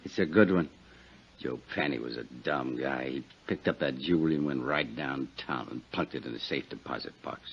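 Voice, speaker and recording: A man's voice: very deep voice, normal speed. An American man playing a crooked, sly insurance investigator in a 1950s radio drama, talking slangy, wheedling tough-guy talk. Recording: dull, noisy 1950s radio recording.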